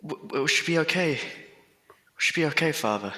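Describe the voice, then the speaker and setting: An actor playing a worried, comforting young Victorian son in a period horror audio drama, in an echoey long reverb. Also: male voice, on the high side, animated, normal speed, sharp tone. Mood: anxious comforting.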